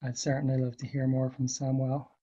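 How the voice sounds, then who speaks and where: A man presents to an online learning group in a measured way, pausing on fillers as he thinks aloud. His voice is husky and hoarse from a cold, at a middling pitch. The dull, lo-fi sound is typical of a webinar recording. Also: monotone, mellow.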